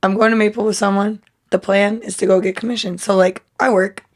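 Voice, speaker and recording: A young woman with a raspy voice, chatting casually as a podcast co-host, laughing and saying "like" a lot. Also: medium pitch, flat delivery, fairly quick.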